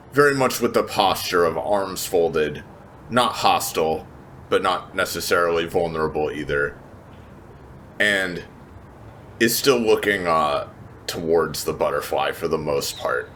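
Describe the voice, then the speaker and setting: Casting: male tabletop player, very low voice, measured and hesitant third-person narration, clear background noise. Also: fairly bright tone.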